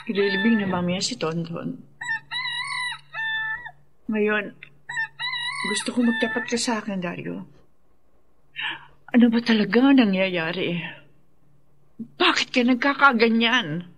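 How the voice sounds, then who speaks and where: A woman's voice: medium pitch, slow, long pauses, noisy background. A woman with a Filipino accent playing a worried, pleading wife in a radio drama, imploring and emotional.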